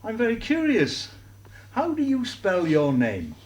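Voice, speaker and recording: A man with a light, raised voice that swings up and down a good deal. He talks in an unhurried, careful way, polite and measured, as a guest in a comedy series. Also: sits high, wildly swooping pitch. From Wales. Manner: polite, slow and deliberate. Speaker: visiting foreigner.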